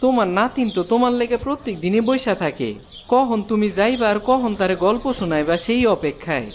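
A man's voice: very high-pitched, lively intonation, brisk, a little hiss or hum. A man voicing a helpful, friendly village woodcutter in a cartoon, with a rural eastern Bengali accent. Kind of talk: cartoon voice acting.

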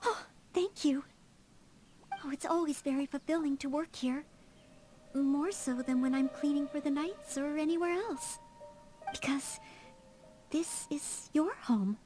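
A woman's voice: high-pitched, unhurried, long pauses. A woman playing an eager, polite young maid in a video game, earnest and humble, with a formal, dutiful, slightly flustered manner. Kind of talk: video game character dialogue. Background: faint game music.

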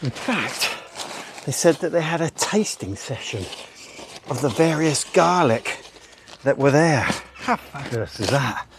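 Older male gardener, slow-paced and chatty, recorded outdoors on a distant camera mic. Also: a little high, expressive, background noise. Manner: chatty, explains as he works. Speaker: allotment gardener. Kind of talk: casual gardening vlog. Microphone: camera mic on a tripod at a distance.

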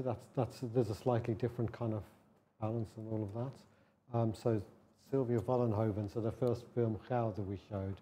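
Male panelist in an event room, mellow tone, halting and thoughtful. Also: medium pitch, slight background noise. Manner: hesitant, with repeated restarts. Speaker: project presenter at a panel talk. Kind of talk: public Q&A discussion. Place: mid-sized indoor event room.

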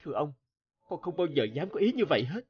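An actor playing a humble, devoted young scholar in an animated drama, earnest and deferential, full of feeling. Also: male voice, fairly high pitch, quick pace, dull audio. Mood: pleading, respectful. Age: young adult.

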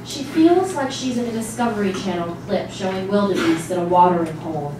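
A woman's voice: medium pitch, lively intonation, brisk. A woman giving a scripted dramatic narration as a stage reading. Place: small stage before a seated audience. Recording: distant mic, very echoey hall, noisy.

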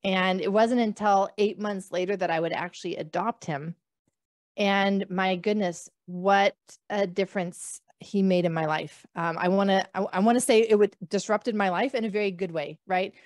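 A woman's voice: medium pitch, steady tone, normal speed, no room sound, clean studio sound. A woman in her thirties from the northern United States, a physician guest telling her story with many fillers over a video call. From northern United States, near the Canadian border. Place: small room at home. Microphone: podcast mic on a video call.

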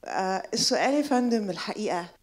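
Fast-talking Egyptian woman in her 40s. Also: medium pitch, lively intonation. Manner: rapid, insistent, rhetorical questioning. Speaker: audience questioner, journalist. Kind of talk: impassioned public question. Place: lecture hall audience.

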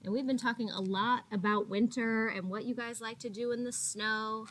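A woman in her thirties, a teacher reading a picture book aloud to young children in a deliberate, expressive way with drawn-out words. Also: fairly high pitch, slight background noise, rough recording. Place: small indoor classroom. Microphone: laptop or webcam at arm's length.

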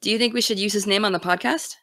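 A woman's voice: medium pitch, steady tone, fast, fairly bright tone, no room sound, close to an everyday voice. Anxious, caring teenage girl played by a woman, dry sound. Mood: uneasy, wry. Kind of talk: naturalistic audio drama dialogue.

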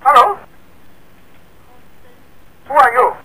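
A man's voice: very high voice, expressive, slow, background noise. A man making a prank phone call, repeating the same broken phrases over and over in a demanding way. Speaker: prank caller.

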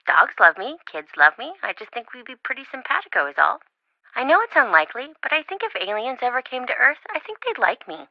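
An actress voicing a chatty young woman friend in a video game, talking on the phone, excitable, jokey and often worried. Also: fairly high pitch, fairly quick, dry recording, lo-fi sound. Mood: wistful.

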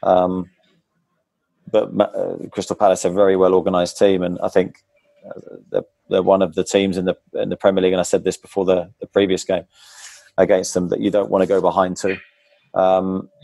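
A man in his forties, a football manager, answering post-match press questions over a video call through a wired earphone mic, measured and hesitant, often pausing with ums. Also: low voice, completely flat delivery, creaky, mellow, no room sound. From England. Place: club press room before a sponsor board. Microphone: wired earphone headset on a video call.

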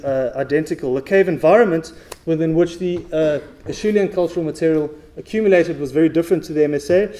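A middle-aged man presents research at a podium in a big, dark auditorium. His voice is mellow and sits a little high. He talks in a measured, lecturing way and fills his pauses with uh. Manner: measured lecturing with frequent uh fillers. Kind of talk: conference presentation. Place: stage of a large dark auditorium.

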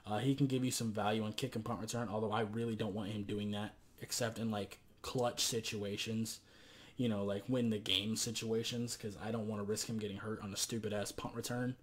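A young man with a somewhat low voice, hoarse from talking for hours. He gives casual, rambling football takes full of fillers. He is recorded on a webcam in a small bedroom. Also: level tone, brisk. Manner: loose and rambling, many fillers. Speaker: sports vlogger.

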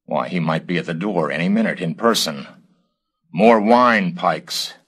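A scheming, courteous host acted by a man narrator in a dark storybook tale. The voice is deep and husky, polite and controlled with cool menace. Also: unhurried, exaggerated voice. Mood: calculating. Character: smooth, scheming male host, coolly sinister and courteous.

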